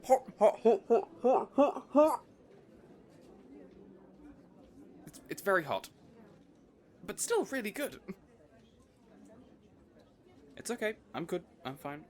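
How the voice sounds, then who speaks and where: A man's voice: high-pitched, moderate pace. Man acting an earnest, boyish villain boss. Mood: flustered. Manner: earnest, hesitant, rambling, excitable. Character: eager, boyish supervillain boss, caring and sweet-toothed. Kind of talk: audio roleplay acting.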